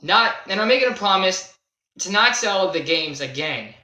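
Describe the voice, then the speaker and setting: A young man telling a casual, unscripted gaming story in a halting, self-deprecating way, stressing key words as he goes. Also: high voice, expressive, pushed and bright. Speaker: video creator telling a personal gaming story. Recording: roomy, echoey home recording.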